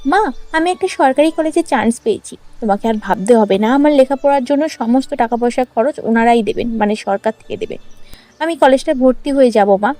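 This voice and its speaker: A woman's voice: on the high side, warm tone, lightly acted. A woman voicing a determined, loving sixteen-year-old village girl who pleads insistently in a cartoon story. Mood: reassuring. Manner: pleading, insistent, repeats words emotionally. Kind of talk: dramatized cartoon story acting. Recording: music under the voice.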